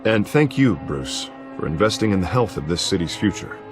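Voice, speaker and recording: An actor playing a charming, self-assured, ambitious American politician in a video game. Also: male voice, low-pitched, big pitch swings, normal speed, music in the background. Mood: upbeat, confident. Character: smooth, upbeat, ambitious male politician. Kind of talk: video game character acting.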